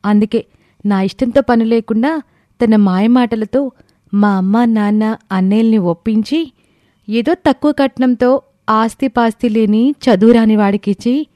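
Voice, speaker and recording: A woman's voice: a little high, warm tone, no room sound, lightly acted. A woman playing a resentful, scheming young college girl in an audio story, complaining and persuasive. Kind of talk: scripted audio story dialogue.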